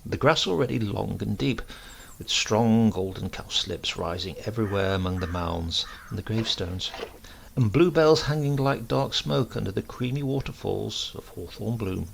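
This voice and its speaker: A man reading a literary comic story as an expressive, deliberate storyteller. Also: lowish voice, vocal fry, a little hiss or hum. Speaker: reader of a comic short story. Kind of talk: scripted narration, literary storytelling.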